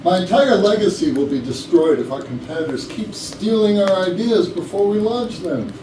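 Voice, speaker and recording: A man's voice: high-pitched, animated, moderate pace. A man playing an anxious, bossy and suspicious company boss near retirement in a staged reading of a comedy. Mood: indignant. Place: rehearsal room. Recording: noisy echoey room, distant mic.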